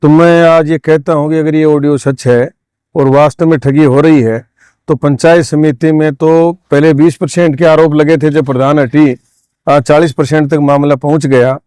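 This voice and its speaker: A man's voice: fairly high pitch, fairly even intonation, moderate pace, dark tone, clear, resonant tone, dry recording. A man giving an insistent interview statement as a local political figure, point by point.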